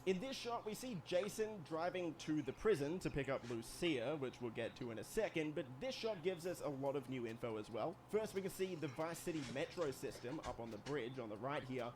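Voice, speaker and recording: Slightly high-voiced man narrating a video breakdown. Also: animated, fairly quick, few pauses. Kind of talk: scripted explanatory commentary.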